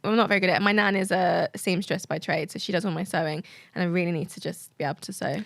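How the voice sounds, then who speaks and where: A woman's voice: lowish voice, steady tone, fast, gravelly, no room sound, clean studio sound. A British woman chatting casually and candidly as a podcast guest. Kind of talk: casual chat.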